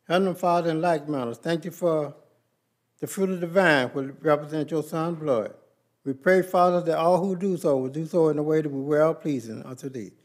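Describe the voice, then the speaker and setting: A man leading the Lord's Supper and prayers in a large church sanctuary, speaking with a slow, measured, reverent cadence into a pulpit microphone. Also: on the high side.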